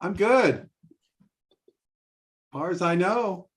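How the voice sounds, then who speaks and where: A man's voice: sits high, lively intonation, extremely slow. Joking, rambling man hosting a video call. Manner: joking, rambling, loose talk with fillers. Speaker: host of a live video call. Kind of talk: casual group video chat.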